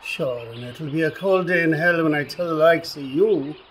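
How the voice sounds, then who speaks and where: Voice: medium pitch, normal speed, a little hiss or hum, lightly acted. An actor in a radio play voicing a grumpy, suspicious little leprechaun with an Irish accent, blustering and insulting.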